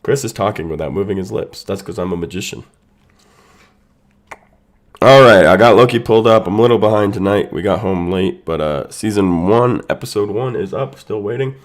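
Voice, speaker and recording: A man's voice: lowish voice, normal speed. A man hosting a live stream, talking casually to his chat and greeting people by name while he sets up, with a voice congested from a sinus infection. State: sick, congested voice. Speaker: streamer hosting a watch party. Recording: echo from unmuted stream audio.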